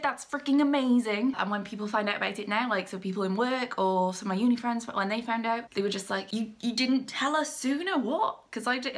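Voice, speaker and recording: A woman's voice: mid-range voice, fairly bright tone. A young woman, an author, chatting casually through fan questions in a bubbly way, laughing between answers. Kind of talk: casual Q&A vlog.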